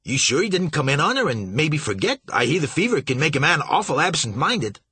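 A man's voice: a little high, big pitch swings, a little fast, sharp tone, husky, no echo, pitched above the performer's own voice, full character voice. A man doing a stammering nervous young clerk. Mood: flustered. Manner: stammering, fawning. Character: flustered nervous young male shipping agent.

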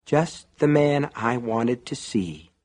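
A man's voice: medium pitch, big pitch swings, moderate pace, slightly rough. Man playing a silky, menacing big coach. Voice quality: soft, silky.

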